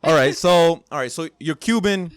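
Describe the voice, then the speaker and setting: A man's voice: fairly high pitch, normal speed, clean tone, no echo, clean studio sound. A man hosting a casual podcast interview, joking loosely and often repeating himself as he talks. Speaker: podcast host.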